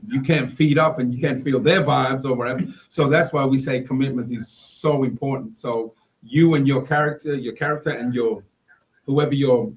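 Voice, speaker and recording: A man's voice: medium pitch, rough recording. A man co-hosting a talk show in a casual, conversational style. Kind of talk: casual chat.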